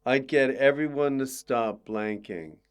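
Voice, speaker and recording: A man's voice: mid-range voice, measured pace, smooth. A man hosting a podcast with casual interview banter and dry, teasing asides. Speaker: podcast host.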